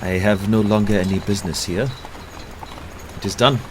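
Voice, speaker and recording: A man playing a gentle, courteous, lovestruck German professor in an audio play, with a German accent and formal, halting phrasing. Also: fairly low pitch, steady tone, background noise, natural voice. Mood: subdued.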